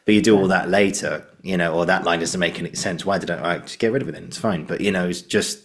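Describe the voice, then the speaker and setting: A man's voice: low voice, quick pace. A man hosting a casual interview, informal and encouraging, often repeating himself for emphasis. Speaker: podcast host.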